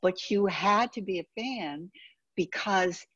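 Husky woman host, dull lo-fi sound. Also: mid-range voice, slow, a bit bright, no echo. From Boston, USA. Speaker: interviewer. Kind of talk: casual interview chat.

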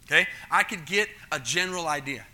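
A man's voice: a little high, a little fast, sharp tone. A middle-aged American man preaching a sermon, teaching in a conversational way, repeating words and checking that the congregation follows. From United States. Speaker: pastor.

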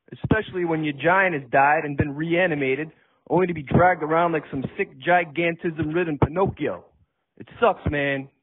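A man as high-voiced wisecracking singer-songwriter, tinny sound. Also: husky. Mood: wry, helpful. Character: laid-back, wisecracking piano-man singer-songwriter named Billy. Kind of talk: comic audio play, talk and parody singing. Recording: tinny, narrow phone-like sound.

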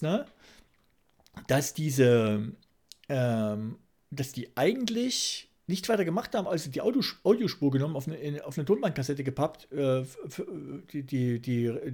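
Guy podcasting, bit higher voice, stumbles and repeats himself, super casual. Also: creaky, a little mellow. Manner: halting, repeats himself, tags remarks with questions. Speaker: podcast co-host.

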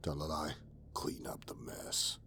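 An actor playing a cold, commanding mutant traitor warlord in an audio drama, with slow, terse, gravelly and breathy speech. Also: male voice, very deep voice, wildly swooping pitch, pushed and bright, a little hiss or hum, exaggerated voice.

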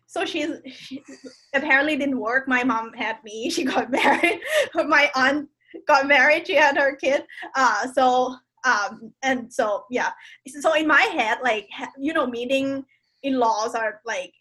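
A woman from Thailand, a podcast guest telling a personal story in casual conversation, pausing often with fillers and restarts. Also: high voice, sharp tone, rough recording. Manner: hesitant, many fillers and restarts.

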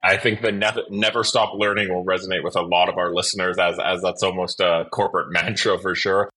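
A man's voice: low-pitched, little pitch movement, brisk, pushed and bright, husky. A man hosting a podcast, interviewing in a conversational way.

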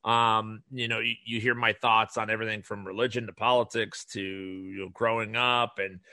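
A man hosts his podcast alone, talking in a nasal, bright, forceful voice. He rambles loosely, restarts his sentences and slips in sales pitches. The sound is dry and close. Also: level tone, normal speed, dry recording. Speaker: podcast host. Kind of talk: solo podcast monologue.

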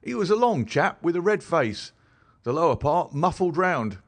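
A rough constable , put on by a man reading the book, talks loud and raised in pitch, full of grievance and rustic grammar. His voice swings a lot as he tells his tale, in every line. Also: sing-song, normal speed. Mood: indignant. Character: rough working-class male London police constable, defensive. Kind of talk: audiobook character voice.

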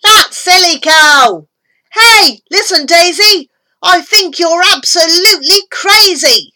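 A woman voicing a horrid, rude man yelling mocking insults from a crowd in a storybook poem. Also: very high voice, expressive, takes it slowly, pitched above the performer's own voice, full character voice. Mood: jeering.

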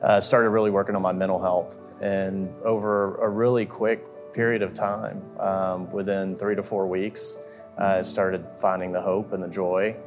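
A man with a very low voice speaks in a flat, even tone. He shares his story softly, pausing often. Guitar music plays clearly underneath. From Central Florida. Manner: soft-spoken, hesitant, many ums. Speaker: church member giving testimony. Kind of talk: testimony interview.

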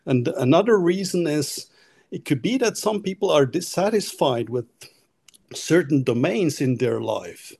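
Researcher guy on a podcast, husky voice, lots of stops and restarts. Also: a little high, expressive, a little slow, a little mellow. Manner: thoughtful, with many restarts. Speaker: guest researcher. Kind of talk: podcast interview.

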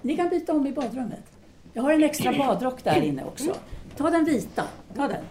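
A woman's voice: high voice, sing-song, darkish tone, a little hiss or hum. A woman playing a self-assured, restless 1930s Parisian intellectual in an audio drama, speaking formally and decisively. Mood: helpful, brisk.

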